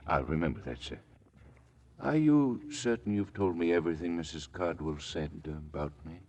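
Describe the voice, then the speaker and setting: An actor playing a deferential, guarded servant in a radio drama, formal and politely hesitant. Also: male voice, low-pitched, lively intonation, normal speed, darkish tone. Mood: formal, probing.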